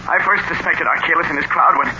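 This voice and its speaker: An actor playing a distraught man just freed from a spell, urgent and halting, in 1940s radio acting style. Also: on the high side, natural voice. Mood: anxious, urgent. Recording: dull old radio recording, faint noise.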